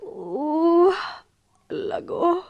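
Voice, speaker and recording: An actress playing a sorrowful, brooding village wife with a rural Bangladeshi accent in a radio drama monologue, halting and breathy, drifting into whispers. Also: female voice, very high voice, sing-song, phone-quality sound, heavily acted. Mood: anguished.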